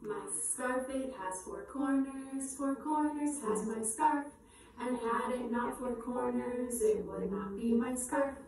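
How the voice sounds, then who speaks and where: A woman's voice: on the high side, creaky. Echoey, distant woman leading children's singalongs. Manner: slow, simple, repetitive delivery for young children. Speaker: children's storytime singer and presenter. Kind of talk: upbeat children's singalong with short spoken introductions. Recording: echoey room, distant mic, faint noise.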